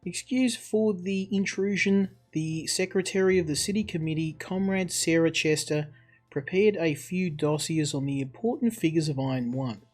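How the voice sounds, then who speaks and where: A polite female secretary read by a man speaks with formal deference in a visual novel. The voice is raised a little in pitch. Faint music plays behind it. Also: moderate pace, a little mellow, close to an everyday voice. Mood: deferential.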